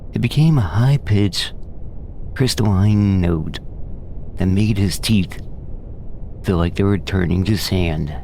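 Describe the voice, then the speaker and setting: Deep, gravelly actor as ominous horror narrator. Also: male voice, darkish tone, slight background noise, heavily acted. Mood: grave, haunted. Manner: ominous, deliberate, dramatic pauses. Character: grave, conspiratorial male investigator broadcasting from a forbidden zone. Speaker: host and narrator. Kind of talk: scripted horror audio-drama narration.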